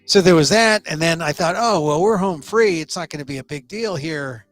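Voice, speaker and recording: Middle-aged male talk-show host, hoarse and scratchy, slightly higher voice, rambling opinion piece, soft music under him. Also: normal speed. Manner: opinionated, loose rambling commentary with restarts. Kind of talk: live online talk show commentary.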